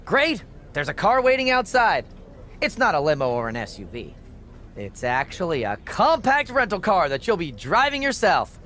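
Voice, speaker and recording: Sarcastic management aide, high male voice, swooping intonation, mock-cheerful, video game. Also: huge pitch swings, moderate pace, sharp tone, a little hiss or hum, exaggerated voice. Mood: smug, gloating. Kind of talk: video game cutscene acting.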